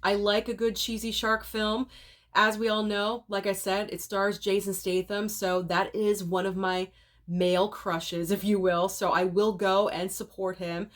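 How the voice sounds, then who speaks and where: A woman's voice: mid-range voice, little pitch movement, unhurried. A woman with a cold and a nasal voice, chatting through a casual rundown of upcoming movies for her channel viewers. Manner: chatty, opinionated, direct to viewers. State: sick, nasal voice.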